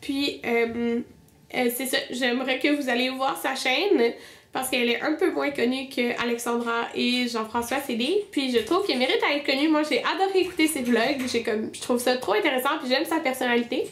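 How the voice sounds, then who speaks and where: A woman's voice: high-pitched, normal speed, a bit bright, echoey room. A young woman with a Québécois French accent, stuffed up with a cold, chatting casually about her favorite beauty products. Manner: chatty, many fillers and restarts. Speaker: beauty vlogger. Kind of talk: casual monthly favorites video.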